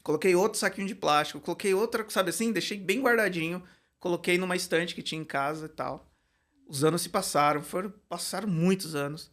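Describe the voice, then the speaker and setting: Chatty teacher with a higher voice. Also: male voice, brisk. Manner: storytelling, animated, laughs often. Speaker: Portuguese and creative writing teacher, guest. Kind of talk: casual podcast conversation.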